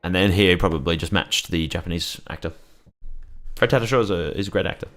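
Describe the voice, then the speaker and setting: A man's voice: low-pitched, fast, fairly bright tone, soft background music. A man giving casual commentary over a game, rambling with fillers and swearing freely. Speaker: gaming streamer.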